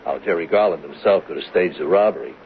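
An actor playing a patient, probing police lieutenant in a 1970s radio drama, with informal, unhurried questioning. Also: male voice, sits low, close to an everyday voice. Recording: dull, hissy old radio recording.

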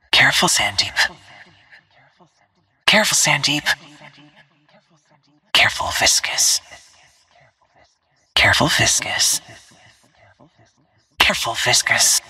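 Stealthy game assassin: female, very breathy half-whisper, slow and controlled, terse tactical callouts. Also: a bass voice, sharp tone, full character voice. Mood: hushed warning. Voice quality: breathy, husky, near-whispered. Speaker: video game character voice.

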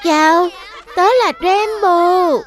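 High-pitched, excitable little cartoon critter. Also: takes it slowly, full character voice. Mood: eager. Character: small excitable cartoon animal child, cheerful and friendly. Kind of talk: dubbed children's cartoon acting.

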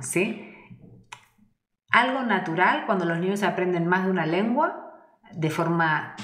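Middle-aged woman earnest educator in an echoey room. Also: on the low side. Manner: earnest and explanatory, gestures with her hands, frequent restarts. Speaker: educator on child language. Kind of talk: informal educational talk to camera. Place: bare indoor room with shelves. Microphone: webcam at desk distance.

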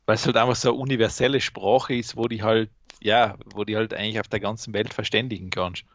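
A man's voice: on the low side, steady tone, fast, a bit bright, no echo. A man with an Austrian accent chatting casually as a podcast co-host, explaining things in colloquial speech. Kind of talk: casual chat.

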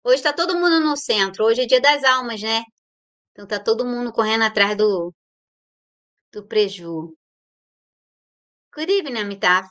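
A woman's voice: fairly high pitch, lively intonation, normal speed, long pauses, a bit bright. A woman with a Brazilian Portuguese accent hosting a casual livestream, chatting playfully and greeting viewers by name. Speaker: livestream host.

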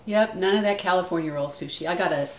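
A woman's voice: lowish voice, fast. An older woman chatting casually as a guest on a live stream. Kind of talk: casual chat. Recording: echoey room, faint ambient noise.

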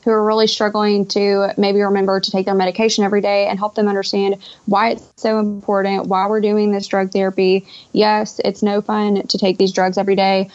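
A woman's voice: medium pitch, monotone, a little fast, few pauses, darkish tone, clean tone. A young adult woman answering conversationally as a podcast guest, speaking over an internet call. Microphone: Skype call. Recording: dull lo-fi internet call audio.

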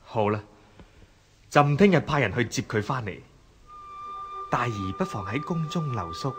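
An indulgent emperor of old China in a radio drama. He speaks at a middling pitch in a stately, affectionate way, with music behind him. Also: big pitch swings, unhurried. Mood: relenting. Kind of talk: Cantonese historical radio drama acting.

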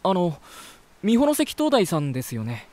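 A male actor playing an earnest, easily amazed travel reporter in a voice drama, gentle, polite and expressive. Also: high voice, moderate pace. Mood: polite, flustered. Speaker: voice actor narrating as lead character. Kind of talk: first-person voice drama narration and dialogue.

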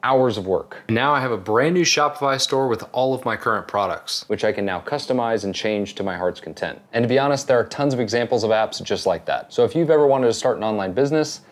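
A man in his thirties hosting a home workshop channel, explaining his projects in a brisk, upbeat, practical way on a lapel mic. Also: medium pitch, expressive. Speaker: woodworking and 3D printing channel host. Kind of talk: casual shop vlog narration. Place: home basement and garage workshop.

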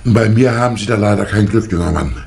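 A creaky-voiced older professor speaks formally and courteously. The style is 1960s radio drama, faintly noisy like an old record. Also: male voice, fairly low pitch, fast talker, darkish tone. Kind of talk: 1960s German radio play acting. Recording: old vinyl record with surface noise.

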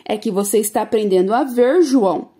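A woman voicing a calm father patiently explaining to his son in a storybook. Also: medium pitch, a little fast, slightly rough, natural voice.

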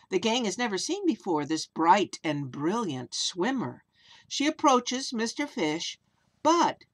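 A woman's voice: mid-range voice, a little hiss or hum. An older woman reading a children's picture book aloud expressively, with casual asides, in a small room at home. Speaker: children's story reader.

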